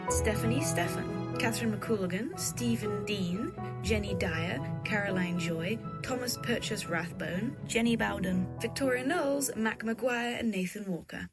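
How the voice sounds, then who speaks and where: A woman's voice: mid-range voice, moderate pace. A woman reading scripted closing credits for an audio drama as its announcer. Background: loud music.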